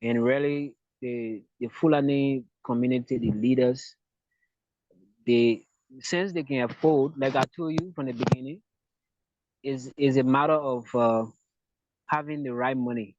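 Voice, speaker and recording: A man's voice: mid-range voice, fairly even intonation, unhurried, a little mellow, dry recording. Hesitant Liberian man, muffled and dry. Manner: hesitant, repeats words and restarts. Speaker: interviewee. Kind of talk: interview answers. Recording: dull lo-fi dry sound.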